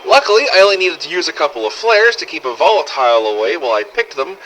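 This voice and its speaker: A bright, forceful male survivor of a zombie apocalypse, acted out by a man, keeps a wry audio diary in game-drama style. His delivery swings widely in pitch, with sardonic emphasis and a weary, sweary edge. Some noise sits behind the voice. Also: lively intonation, fast, poor audio. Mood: wry recounting. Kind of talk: dramatised first-person audio diary for a game fan project.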